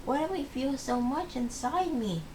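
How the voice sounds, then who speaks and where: Reader putting on a worried childlike chameleon girl for a storybook, voice pitched up, background noise. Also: lively intonation, unhurried, darkish tone. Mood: upset. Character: overwhelmed young female chameleon, anxious and curious.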